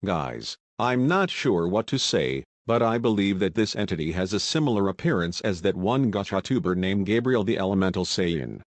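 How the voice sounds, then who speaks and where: A man's voice: sits low, animated, moderate pace, few pauses, no room sound. A man playing a folksy TV news host delivering grave warnings in a mock breaking-news broadcast. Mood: serious, concerned. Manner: folksy, addresses viewers as y'all.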